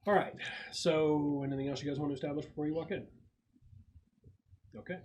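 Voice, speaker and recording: A man's voice: a little high, steady tone, fairly quick, a little mellow. A man acting as game master, casually explaining plans to players at a tabletop roleplaying game. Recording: muffled, slightly noisy group recording.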